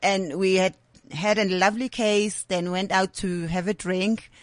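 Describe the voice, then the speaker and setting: A woman's voice: mid-range voice, unhurried, no echo. Woman, South African accent, thirties, comedian guest, candid storyteller, dry close sound. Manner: candid, animated storytelling mixing English with Zulu. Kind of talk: interview conversation.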